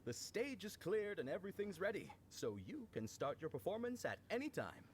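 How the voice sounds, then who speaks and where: A man's voice: high-pitched, sing-song, normal speed, slight background noise. A man playing a cheerful, eager young festival helper in a video game, upbeat and friendly.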